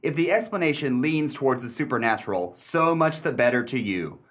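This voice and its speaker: A man playing a self-assured, skeptical gentleman puzzle expert in a radio mystery, with precise, formal diction and a dryly condescending manner. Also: mid-range voice, animated, fairly quick. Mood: dismissive, assured. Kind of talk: radio mystery acting, period dialogue.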